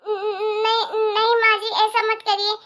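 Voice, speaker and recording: A woman's voice: very high-pitched, monotone, unhurried, pushed and bright, faint music behind, exaggerated voice. A woman voicing a young wife and stepmother in a cartoon melodrama, pleading and stammering tearfully. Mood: meek denial. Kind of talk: Hindi moral-story cartoon melodrama.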